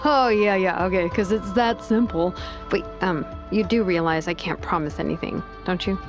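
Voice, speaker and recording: An actress playing a modest, wry young engineer in a video game, talking in a dry, casual manner. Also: female voice, on the low side, normal speed, highs cut off, over music, close to an everyday voice. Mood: sceptical. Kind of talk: game voice acting.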